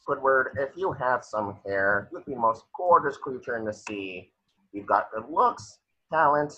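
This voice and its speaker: A man playing a vain, snooty male cartoon squid with a husky, drawling, pompous delivery on slightly dull audio. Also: mid-range voice, lively intonation, a little slow, sharp tone, heavily acted. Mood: smug. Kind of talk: animated cartoon table read.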